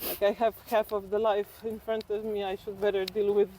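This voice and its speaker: A woman's voice: medium pitch, flat delivery, fairly quick, mellow. Woman, noisy outdoor recording. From Slovenia. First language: Slovenian. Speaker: interview guest. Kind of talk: casual reflective chat while walking. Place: outdoors on a walking trail. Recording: outdoor walk with clear background noise.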